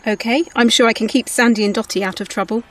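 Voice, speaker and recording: Woman as a high-voiced, breezy companion. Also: fairly even intonation, fast talker, recorded from a distance, natural voice. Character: upbeat, unworried female travelling companion.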